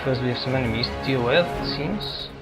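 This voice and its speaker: A man giving casual, halting gameplay commentary as he hosts a gaming video. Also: medium pitch, low-quality recording. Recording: game music and battle noise behind. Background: video game music and combat sounds.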